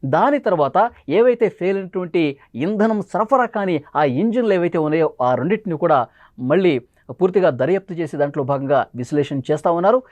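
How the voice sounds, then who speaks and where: A man's voice: high-pitched, animated, fast talker, darkish tone, no echo. A man presenting dramatic news commentary, emphatic and repeating phrases for stress.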